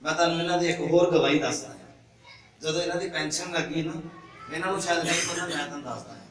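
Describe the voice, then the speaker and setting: A man's voice: on the high side, steady tone, racing. A young man preaching to a congregation, quizzing the crowd in call-and-response. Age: young adult. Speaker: pastor. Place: church hall with congregation. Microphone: handheld mic at a pulpit, filmed from afar. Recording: echoey hall, distant mic, crowd.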